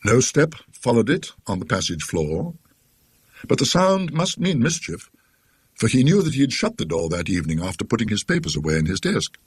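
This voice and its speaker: A British man with a husky voice narrating a classic ghost story in a scholarly, dryly witty, deliberate manner. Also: medium pitch, very animated, no echo.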